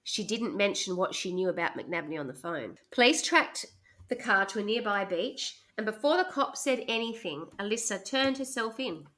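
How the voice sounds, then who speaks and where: A bright, crisp-sounding woman walks her friends through a true crime story. She talks in a chatty, relaxed way, laughs easily and now and then checks her notes. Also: medium pitch, moderate pace. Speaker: podcast host telling a true crime story. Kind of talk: casual chat, storytelling.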